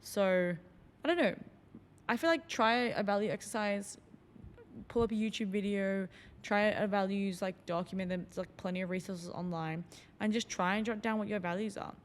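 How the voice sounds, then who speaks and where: A woman's voice: medium pitch, moderate pace, big-room sound, a little hiss or hum. A woman hosting a solo podcast, talking in a loose, reflective way with lots of fillers. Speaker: podcast host.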